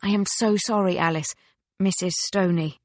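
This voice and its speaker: A courteous, earnest English gentleman, played by a female narrator in an audiobook. He speaks smoothly and politely. The sound is dry and close. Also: medium pitch, fairly even intonation, normal speed, no echo, close to an everyday voice. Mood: apologetic.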